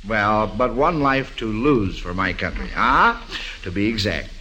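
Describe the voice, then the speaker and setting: A man's voice: lowish voice, big pitch swings, unhurried, bright, forceful tone. An American man hosting a 1940s-style radio quiz, addressing child contestants in an avuncular way on an old broadcast recording. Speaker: quiz show host.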